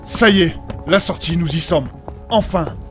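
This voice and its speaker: An actor playing an earnest, formal quest companion who urges others on in old-fashioned phrasing, in theatrical audio fantasy. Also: male voice, on the high side, a little slow, a bit bright, soft background music. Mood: relieved.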